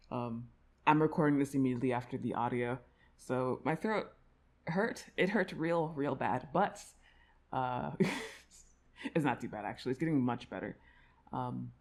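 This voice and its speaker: A woman's voice: rumbling low, darkish tone. A woman audio creator talking casually to her listeners in an effusive, rambling way. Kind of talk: casual, informal outro chat to listeners.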